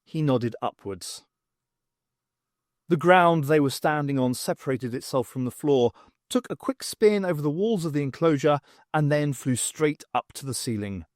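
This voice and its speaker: Casting: man for dramatic audiobook narration, very animated delivery, close dry recording. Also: fairly high pitch, normal speed, no echo. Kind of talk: scripted dramatic story narration.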